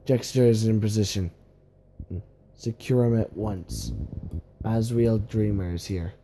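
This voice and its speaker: A man voicing an urgent army commander in halting, repetitive, improvised game-style battle lines. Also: on the low side, lively intonation, dark tone, a little hiss or hum. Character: urgent male army commander barking orders at troops.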